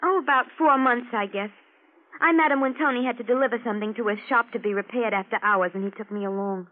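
A woman's voice: a little high, fast, lightly acted. A woman playing a naive, vain young siren who mixes slang with stilted refinement in a 1940s radio drama. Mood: vain, artless. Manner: gushing slang mixed with stilted genteel phrases. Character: naive, vain working-class femme fatale putting on refinement. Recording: old lo-fi radio drama recording.

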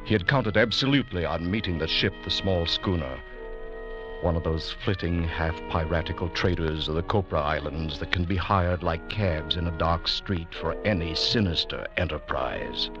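A man narrating an old radio adventure drama as a grave storyteller, in a measured, dramatic way. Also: sits low, over music, lightly acted. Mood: grave, suspenseful. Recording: dull vintage radio recording.

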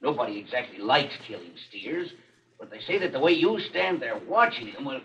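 A distant, muffled 1940s radio drama recording of a working-class night watchman. He is gruff but uneasy and colloquial, with a bright, forceful tone. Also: mid-range voice, animated, normal speed, a little hiss or hum. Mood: reluctant, uneasy. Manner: colloquial, reluctant. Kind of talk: 1940s radio mystery acting.

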